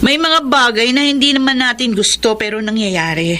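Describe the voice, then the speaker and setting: Radio drama daughter: Filipino accent, somewhat high pitch, defiant but respectful. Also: female voice, moderate pace, bright, forceful tone. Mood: defensive, resigned. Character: defiant adult Filipina daughter, apologetic yet unyielding. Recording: faint background noise.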